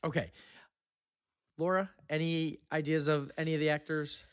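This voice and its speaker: A man's voice: on the high side, flat delivery, normal speed, smooth. A man hosting a casual podcast chat, leading the others through a guessing game.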